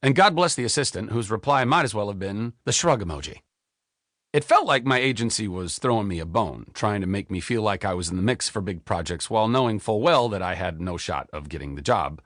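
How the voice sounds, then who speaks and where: A man in his forties narrates his own memoir in a close, dry studio sound. His fairly low voice swings widely up and down as he leans into the telling. He sounds candid and expressive, as if confiding in the listener. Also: fairly quick, no room sound. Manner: expressive, candid storytelling with wide pitch swings.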